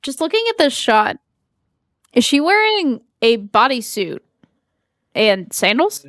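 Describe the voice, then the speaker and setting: A woman's voice: fairly high pitch, very animated, slow, fairly bright tone, no echo, studio quality. A woman gamer giving casual, amused, wry commentary on a game she is playing. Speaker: gaming streamer. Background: game music.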